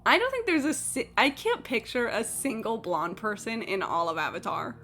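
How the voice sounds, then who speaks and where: A woman's voice: fairly high pitch, lively intonation, moderate pace, sharp tone, slight background noise. A woman livestreaming a game, chatting casually and opinionatedly in a blunt, sweary, incredulous ramble. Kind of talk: casual opinionated chat while gaming.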